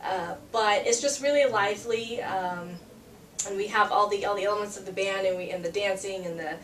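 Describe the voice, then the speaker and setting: A woman's voice: medium pitch, brisk, fairly bright tone, far from the mic. A young woman from Peru talks easily as an interview guest, trailing into fillers and restarts and breaking into laughs. She sits a little away from the mic in an echoing room, with a faint hum of ambience behind her. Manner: chatty, laughs often, many fillers and restarts. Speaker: singer-songwriter guest. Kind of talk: casual interview chat.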